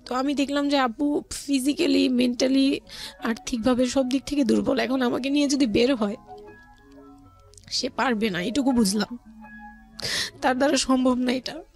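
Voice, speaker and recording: A woman's voice: high-pitched, fairly even intonation, moderate pace, vocal fry, pauses often, a little mellow, soft background music. A woman with a Bangladeshi accent telling her personal story conversationally as a guest, often voicing what relatives said. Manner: quotes relatives' words as she narrates.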